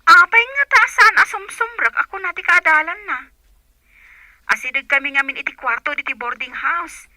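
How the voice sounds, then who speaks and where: A woman's voice: extremely high pitch, normal speed, pushed and bright, no room sound, heavily acted. An actress with a Filipino accent playing an inquisitive young female student, insistently questioning through a telephone filter in a radio drama. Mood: puzzled.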